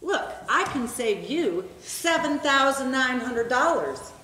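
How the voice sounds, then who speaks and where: A woman's voice: high voice, very animated, takes it slowly, fairly bright tone, full character voice. A woman playing a wry, world-weary, straight-talking bartender in a stage comedy, dry and folksy. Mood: wry, coaxing. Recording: echoey room with background noise.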